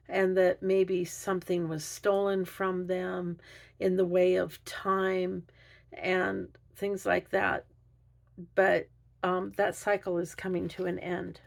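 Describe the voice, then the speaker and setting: A woman doing an unscripted tarot reading, thinking aloud in a halting, musing way. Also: mid-range voice, gravelly. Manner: hesitant, halting delivery with musing pauses. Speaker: tarot reader.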